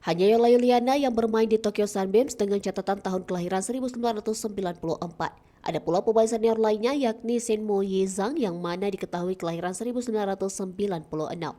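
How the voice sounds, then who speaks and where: A woman reading a scripted sports news report in an even, read-aloud manner, her voice dropping at the ends of sentences. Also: medium pitch, fast. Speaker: news narrator.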